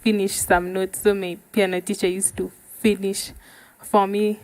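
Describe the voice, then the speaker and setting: A woman's voice: mid-range voice, level tone, moderate pace, darkish tone, no room sound, rough recording. A woman with a Kenyan accent telling her story casually as an interview guest. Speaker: guest, sound engineer and musician.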